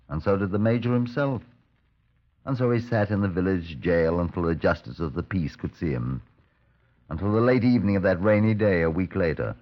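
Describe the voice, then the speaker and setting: An actor playing a stern, measured police superintendent who narrates a case in a 1950s radio drama. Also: male voice, low voice. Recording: dull vintage 1950s radio recording.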